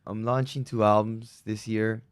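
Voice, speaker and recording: A man's voice: fairly low pitch, unhurried, clean tone, dry recording, clean studio sound. A young man from Peru, a musician guest, chatting casually and upbeat with plenty of fillers. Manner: relaxed, upbeat, lots of fillers. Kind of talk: casual interview chat.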